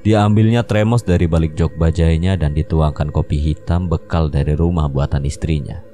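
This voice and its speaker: A man narrating a mystery story in the first person, calm storytelling. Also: rumbling low, moderate pace. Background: faint music.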